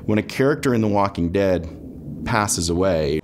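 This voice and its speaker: A man's voice: low voice, animated, normal speed. A man in an interview making points emphatically, repeating phrases for effect. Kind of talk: animated interview talk. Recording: clear background noise.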